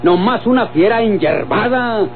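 An actor playing a taunting, hot-headed cowboy with a Mexican accent in an old radio drama. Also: male voice, high-pitched, lively intonation, measured pace, a little hiss or hum. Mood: angry, taunting. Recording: tinny old radio recording, music behind.

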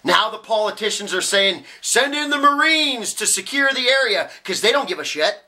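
A man's voice: high voice, animated, moderate pace, pushed and bright, voice pushed up, exaggerated voice. A man playing a cocky, cynical young working-class genius, rambling sardonically through a dramatic film monologue. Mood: sardonic. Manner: rambling, sardonic, building chain of argument.

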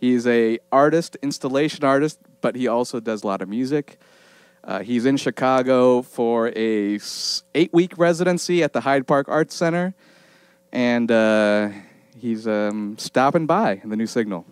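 A man's voice: medium pitch, measured pace, clear, resonant tone, no echo. A man hosting a casual podcast, chatting and introducing the people in the room with loose, laughing energy. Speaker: podcast host. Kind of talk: casual group chat.

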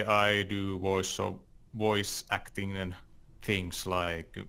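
A man co-hosting in casual, unscripted chat, talking in a halting way. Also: lowish voice, gravelly, a bit bright. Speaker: co-host, voice actor.

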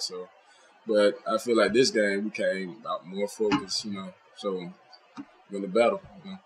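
Young football player, relaxed and polite. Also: male voice, on the low side, poor audio. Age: young adult. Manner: relaxed, polite, many ums and you knows. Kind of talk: post-game interview answers. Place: press room, seated at table.

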